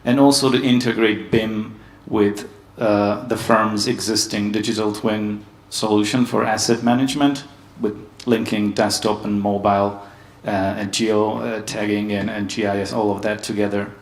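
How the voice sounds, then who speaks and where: Guy from hungary giving a panel talk, low monotone, lots of ums, echoey room. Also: moderate pace, hardly any pauses. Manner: steady, hesitant with many ums. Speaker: panel speaker. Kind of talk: conference presentation.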